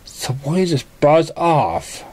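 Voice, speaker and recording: A man's voice: on the high side, very animated, takes it slowly, darkish tone, pitched above the performer's own voice, heavily acted. A man voicing a jealous, hot-tempered female party pony in a melodramatic, indignant way. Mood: angry.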